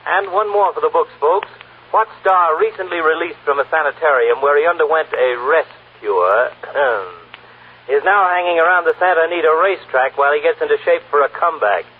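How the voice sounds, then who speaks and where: An actor playing a breezy, insinuating gossip columnist with a husky voice in a 1940s radio drama. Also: male voice, on the high side, normal speed. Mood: sly, breezy. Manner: punchy, insinuating. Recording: thin narrow-band 1940s radio recording.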